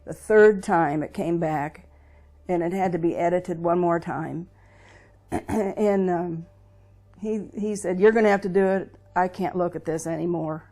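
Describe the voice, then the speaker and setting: Mellow older American woman, reflective and halting. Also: lowish voice. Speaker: interviewee, veteran's wife. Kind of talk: personal recollection in an interview. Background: music under the voice.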